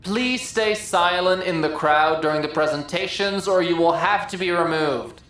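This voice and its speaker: A woman's voice: lowish voice, moderate pace, a bit bright, very echoey, pitched above the performer's own voice, natural voice. A woman voicing a stern, formal official making curt announcements in an audiobook drama. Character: stern, formal official over theater speakers. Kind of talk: audiobook character acting.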